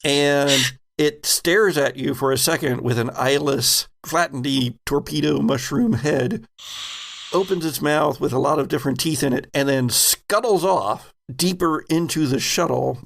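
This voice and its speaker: A man narrating a horror tabletop game as game master, halting as he builds vivid descriptions. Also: fairly high pitch, gravelly, a bit bright.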